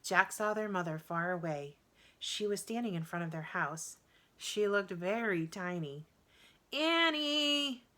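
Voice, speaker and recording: A woman reading a children's story aloud in an expressive, deliberate way. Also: fairly low pitch. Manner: animated, deliberate, acts out dialogue. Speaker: storybook reader.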